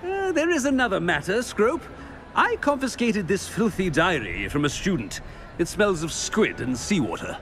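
An actor playing a pompous, imperious middle-aged headmaster in a video game, with a haughty, commanding manner. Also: male voice, sits high, very animated, normal speed, a bit bright, a little hiss or hum, full character voice. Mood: haughty, dismissive. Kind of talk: video game character acting.

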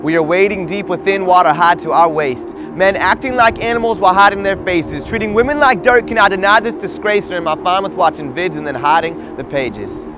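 A man's voice: high voice, fairly quick, hardly any pauses, noisy background. A young man performing a rhyming spoken word poem in an earnest, urgent rhythm, as a voice-over. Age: young adult. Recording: voice-over over loud music.

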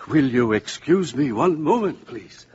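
An actor playing a learned, courteous and curious professor in a formal, deliberate 1950s radio drama manner. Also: male voice, mid-range voice, lively intonation, lightly acted. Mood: intrigued. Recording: dull, hissy 1950s radio recording.

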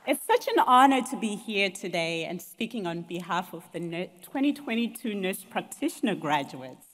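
A woman giving a heartfelt, expressive graduation speech at a podium in a large hall. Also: fairly low pitch, normal speed, a faint crowd. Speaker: graduating student speaker. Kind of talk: commencement speech. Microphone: podium mics. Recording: long hall reverb.

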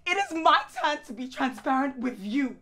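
A woman's voice: high voice, lively intonation, moderate pace, bright, forceful tone, heavily acted. A woman playing a hurt, exasperated wife in a screen drama, speaking with pointed emphasis and repeating words for force. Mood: outraged. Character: hurt, exasperated wife confronting her proud husband. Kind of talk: naturalistic screen drama acting.

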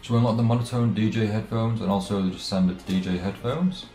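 A low-voiced guy hesitantly chatting to his stream viewers from an echoey room, game music playing behind him. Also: steady tone, dark tone. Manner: halting, hesitant delivery. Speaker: let's play streamer. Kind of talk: casual live stream chat. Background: game background music.

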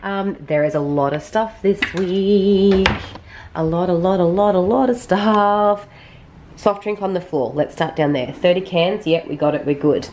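An Australian woman in her thirties talks through her week in a relaxed, rambling way, with plenty of ums along the way. Her voice sits low, and she sounds sniffly, as if she is losing her voice. A faint hum sits behind her in a home kitchen. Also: measured pace. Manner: chatty, rambling, frequent ums. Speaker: vlogger. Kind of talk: casual grocery haul vlog.

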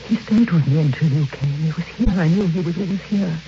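An actress playing a betrayed woman in an old radio drama, intense and grief-stricken, speaking in short halting phrases. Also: low voice, mellow. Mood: grieving, bitter. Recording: muffled, lightly hissy old radio recording.

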